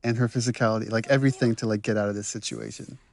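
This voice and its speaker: A man's voice: on the low side, fairly even intonation, very fast, gravelly. A man giving casual, explanatory behind-the-scenes commentary as a creator.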